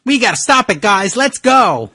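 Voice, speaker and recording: A man acting out a determined cartoon hero rallying friends, earnest and urgent. It is a hammy comic reading with lively, swinging pitch and a dry sound. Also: moderate pace, gravelly, no room sound, full character voice. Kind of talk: mock dramatic reading of fan fiction.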